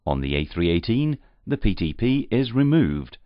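A man reading scripted instructional narration in a formal, measured, carefully enunciated way. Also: fairly low pitch, sing-song, no echo. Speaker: training narrator. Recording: slightly muffled, dull audio.

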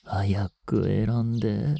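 An actor playing a soft-spoken younger vampire brother in a Japanese romance voice drama, teasing and coaxing with polite menace as he hungers for blood. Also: on the low side, little pitch movement, slow, creaky, slightly rough, exaggerated voice. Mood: coaxing, menacing.

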